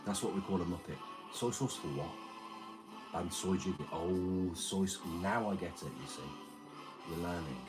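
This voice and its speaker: A man in his thirties with a very deep, slightly damaged voice, chatting casually and crudely while reading his livestream chat in a small room. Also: normal speed, music in the background, poor audio. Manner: rambling, crude, jokey. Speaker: livestream host. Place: small room with red curtain and red light.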